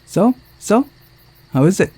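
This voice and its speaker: A man voicing an eager, perky young actress in a visual novel, excitable and inquisitive. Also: sits high, measured pace, breathy edge, pitched above the performer's own voice, exaggerated voice.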